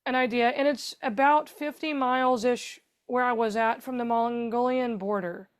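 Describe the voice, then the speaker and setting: A woman's voice: fairly high pitch, steady tone, moderate pace, smooth. A woman guest on a podcast interview, telling her story in a chatty, rambling way with lots of fillers. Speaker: guest, foundation founder.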